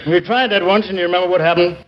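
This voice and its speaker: An actor playing a doubtful, deadpan, wisecracking tavern waiter in a 1950s radio comedy. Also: male voice, high voice, rapid-fire, lightly acted. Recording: very noisy muffled 1950s radio broadcast.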